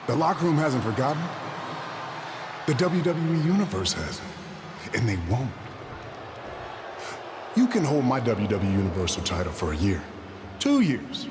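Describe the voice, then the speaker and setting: Menacing, condescending wrestling top dog for a game promo, animated delivery, clearly noisy hall. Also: male voice, mid-range voice. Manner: taunting, condescending. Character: arrogant, menacing male wrestling overlord. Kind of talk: scripted video game cutscene promo. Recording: noisy arena with long reverb.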